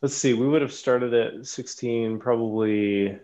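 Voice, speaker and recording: A man talking as a podcast guest, telling stories in an animated way with halting restarts. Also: mid-range voice. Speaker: podcast guest, knife designer. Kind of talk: casual chat.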